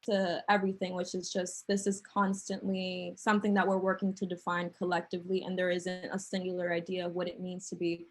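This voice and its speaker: A woman's voice: fairly low pitch, monotone, a little fast, hardly any pauses. Reflective young woman, casual filler-heavy talk. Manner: reflective, lots of likes and ums. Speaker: panel artist.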